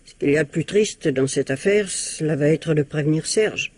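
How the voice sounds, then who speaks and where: A spiteful older woman in a vintage radio whodunit. Her voice is husky and a little low, and she is prim, evasive and quick to defend herself. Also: darkish tone. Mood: sly, disdainful. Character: scheming, spiteful older mother-in-law under suspicion. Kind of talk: old-fashioned French radio mystery acting.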